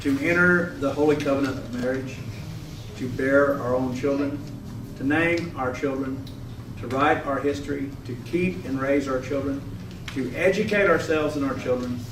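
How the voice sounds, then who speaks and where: Man, mid-pitched, scripted declamation, echo, background noise. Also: moderate pace. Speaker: readers theater reader. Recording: echoey room, distant mic, clear noise.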